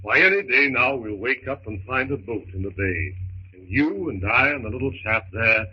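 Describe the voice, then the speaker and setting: A man's voice: mid-range voice, lively intonation, normal speed, natural voice. A man playing a bluff, reassuring English husband and jungle adventurer in a 1930s radio drama style. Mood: soothing. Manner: bluff, jaunty, soothing. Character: hearty, reassuring English husband, stiff-upper-lip colonial adventurer. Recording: muffled, hissy 1930s radio recording.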